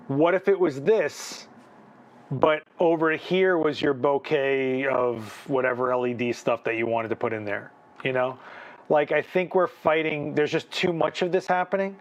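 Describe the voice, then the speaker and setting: A man hosting a live photo critique, chatting casually, thinking aloud with restarts and asking "right?", his voice a bit worn. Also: a little high. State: losing his voice. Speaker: photography livestream host.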